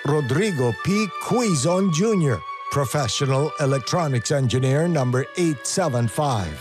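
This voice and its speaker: A man reading a formal scripted station announcement in a measured, carefully enunciated way. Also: on the high side, animated. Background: background music.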